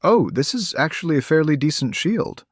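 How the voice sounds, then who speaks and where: A man's voice: a little high, animated, moderate pace, dry recording, studio quality, voice pushed up. A man doing a crude, wry young heroine. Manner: blunt, profane, sarcastic asides. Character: petite young female adventurer, crude and wry.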